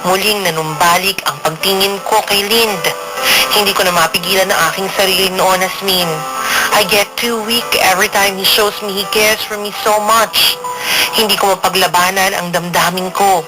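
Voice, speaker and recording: A woman's voice: steady tone, moderate pace, natural voice. An actress with a Filipino accent playing a lovelorn young woman who earnestly narrates her own story, as in a radio drama letter. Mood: lovelorn, vulnerable. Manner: confiding, earnest, switches between Tagalog and English. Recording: low-quality radio capture with music underneath.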